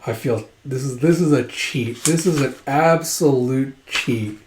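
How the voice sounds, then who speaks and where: A man with a hoarse voice from a cold, hosting a manga read-through channel and chatting casually, rambling with many fillers. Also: medium pitch, a little slow, background noise. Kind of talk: casual chat to camera. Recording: roomy echo.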